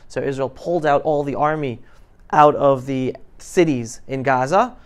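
Clear-voiced man giving an emphatic talk. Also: medium pitch, moderate pace. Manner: emphatic, questioning the audience. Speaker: guest speaker. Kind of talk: informal talk to high school students. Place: classroom, indoors. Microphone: lapel mic.

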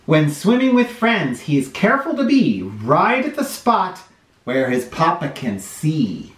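A middle-aged man hosting a children's read-aloud, reading a storybook expressively with drawn-out phrasing. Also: a little high, huge pitch swings. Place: small bright living room or playroom, indoors. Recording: roomy indoor echo.

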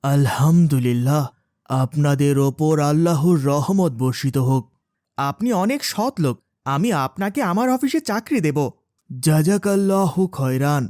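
Woman as a pious dad, low voice, caring, emphatic, dry cartoon dub. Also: expressive, measured pace, mellow, dry recording. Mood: grateful, generous. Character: caring, pious father of a young girl. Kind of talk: children's moral cartoon dubbing.

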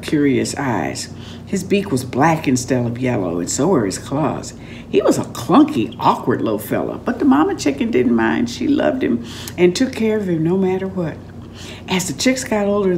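An older woman reading a story aloud in an expressive, dramatic and deliberate storytelling manner. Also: sits low, a little hiss or hum. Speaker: author reading aloud from own book. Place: room at home, indoors.

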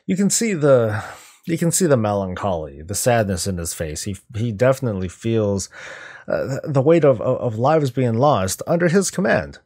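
A man's voice: mid-range voice, big pitch swings, moderate pace, darkish tone, slightly rough. A middle-aged man giving casual, musing reaction commentary as a video host, talking conversationally. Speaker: YouTube host reacting to game cinematics.

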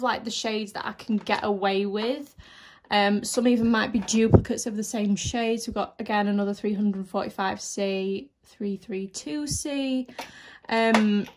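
A woman's voice: fairly high pitch, slight background noise. A young woman in her twenties, slightly nasal from hay fever, chatting enthusiastically to camera in her makeup room at home. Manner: chatty, enthusiastic, repeats "really, really". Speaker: beauty vlogger. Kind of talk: casual vlog talk to camera. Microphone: camera in front, some close-up product shots.

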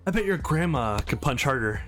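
A man's voice: medium pitch, lively intonation, a little fast, a bit bright, faint music behind, lightly acted. A man playing a cocky, boastful young man who taunts a sparring rival in a visual novel.

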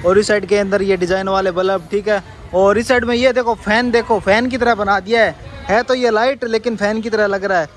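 A man's voice: sits high, fairly even intonation, a little fast. A young man from India, walking through an outdoor street market and chatting to the camera as a travel vlogger. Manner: chatty, pointing things out, repeats "theek hai" and "dekho bhai". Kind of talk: walking market tour vlog. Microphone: handheld camera mic. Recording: noisy street with traffic.

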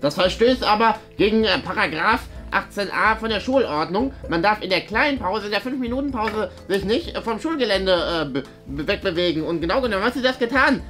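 A man's voice: sits high, lively intonation, fairly quick, few pauses, pushed and bright, faint music behind, pitched above the performer's own voice. A man playing a prissy tattletale schoolboy in a comedy sketch, quoting rules in a pedantic, stammering way. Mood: indignant, officious. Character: prissy tattletale schoolboy, officious rule-quoter.

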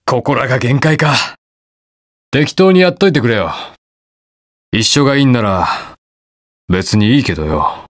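An actor playing a stubborn, protective young masked hero in a video game, speaking curtly and brusquely. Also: male voice, mid-range voice, lively intonation, unhurried, pauses often, no echo, studio quality, lightly acted. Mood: grumbling, casual. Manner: curt, brusque, rough casual speech. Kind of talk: video game voice lines, battle quips.

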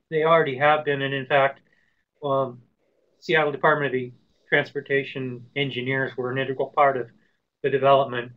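Man, hoarse, mid pitch, halting speech, throat clearing, dull lo-fi webinar sound. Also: fairly bright tone. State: hoarse from a cold. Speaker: researcher answering webinar questions. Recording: muffled lo-fi web call audio.

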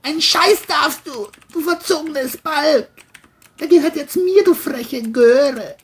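A woman's voice: high voice, slow pace, a little hiss or hum, pitched above the performer's own voice. A woman voicing an abusive, sneering man, a mean bully with a menacing, mocking manner, in an audio crime drama. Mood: menacing, insulting.

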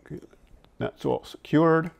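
A man in his sixties informally explaining and demonstrating gear, in a conversational, halting way that often backtracks. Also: fairly high pitch, steady tone, creaky. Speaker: audio-visual technician and product reviewer presenting vintage tape gear. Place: indoor room at home, seated at a table.

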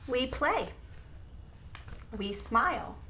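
A middle-aged woman reading a children's picture book aloud in short, deliberate phrases with pauses between them. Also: sits high, animated, pushed and bright. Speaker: storybook reader. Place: small room at home beside an open window. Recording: noisy room, home webcam recording. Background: clear background noise, room ambience.

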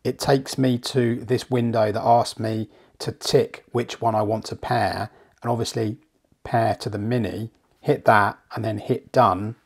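A man talks through an app screen by screen as a hifi reviewer, chatty and steady. His voice is croaky and gravelly from flu, and it sits fairly low. He explains each step plainly. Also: measured pace. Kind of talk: screen walkthrough tutorial. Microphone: voice-over.

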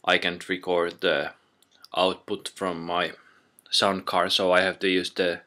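A man presents a casual software tutorial in a low, unhurried voice with a bright, hard edge. He goes step by step and halts often. The sound comes from a built-in computer microphone. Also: flat delivery. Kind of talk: informal software tutorial narration.

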